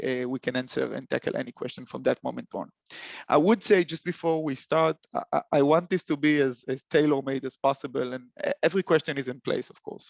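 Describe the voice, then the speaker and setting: A young man with an Israeli accent presenting a webinar, halting speech full of fillers and restarts. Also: a little high, gravelly, no echo. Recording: dull lo-fi call audio.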